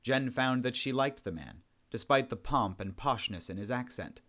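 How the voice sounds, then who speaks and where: Man narrating fiction, mid-pitched. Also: animated, normal speed, a bit bright. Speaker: audiobook narrator. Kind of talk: scripted narration of a science fiction story.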